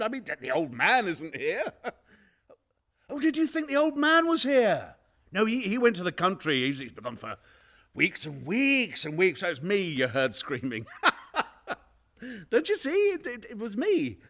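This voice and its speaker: An actor playing a nervous, guilty murderer in a radio play, stammering and repeating himself in an over-eager, ingratiating way. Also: male voice, high-pitched, wildly swooping pitch, a little slow, fairly bright tone, heavily acted. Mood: anxious, defensive. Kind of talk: theatrical radio play acting.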